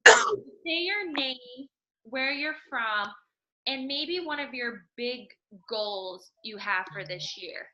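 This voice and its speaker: A woman leads a team meeting over a video call, with a bright, high-leaning voice. She keeps things moving with quick encouraging replies, and the audio sounds a little dull. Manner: upbeat, brisk encouraging replies. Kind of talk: casual group call. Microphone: laptop video call.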